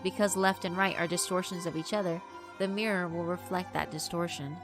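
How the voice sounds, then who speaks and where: A woman voicing a polite, solemn mirror yokai who speaks formally and gives measured, admonishing advice in a visual novel. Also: on the low side, fairly even intonation, natural voice. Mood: calm, admonishing. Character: solemn, polite mirror yokai delivering stern, wise warnings. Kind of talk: dramatic visual novel voice acting. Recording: music behind the voice.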